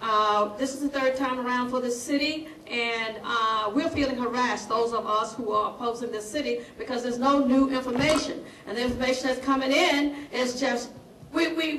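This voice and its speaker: Woman giving public testimony, kinda high voice, blunt and emphatic, echoey room. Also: fairly even intonation, brisk, a bit bright. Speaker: resident speaking at public hearing. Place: indoor public meeting room. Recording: roomy hearing room, faint background noise.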